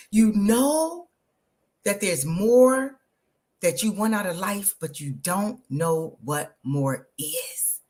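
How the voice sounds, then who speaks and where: A woman, hosting an energetic, motivational live stream and talking straight to her viewers. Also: fairly low pitch. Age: 50s. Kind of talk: live social media stream.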